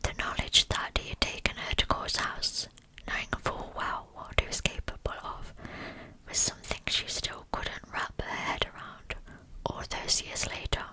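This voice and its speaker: A woman's voice: normal speed, bright, forceful tone, poor audio. Woman whispering a true-crime ASMR narration. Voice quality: breathy pure whisper.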